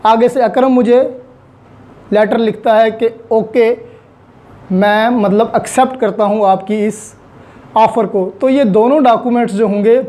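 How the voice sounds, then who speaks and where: A man's voice: high-pitched, fairly even intonation, a little slow, mellow. A man with a Pakistani accent giving an explanatory law lecture, posing questions and answering them, with English terms mixed in. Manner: mixes in English terms and phrases.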